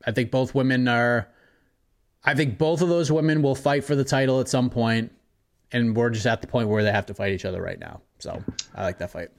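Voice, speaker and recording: A man co-hosting a podcast in casual chat, animated and ranting with mock outrage. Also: mid-range voice, fairly quick, creaky. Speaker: podcast co-host.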